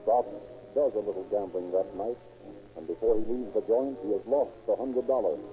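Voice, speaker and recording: A man playing the solemn narrator of an old radio crime drama, measured and dramatic in his delivery. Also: on the low side, close to an everyday voice. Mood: grave, suspenseful. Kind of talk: 1940s radio scripted narration. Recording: tinny old radio recording, music behind.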